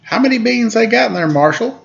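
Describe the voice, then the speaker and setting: A man voicing an eager, curious young schoolboy in a storybook, in an excitable, folksy way. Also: very high voice, a little fast, gravelly, highs cut off, voice pushed up, exaggerated voice. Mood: curious, excited.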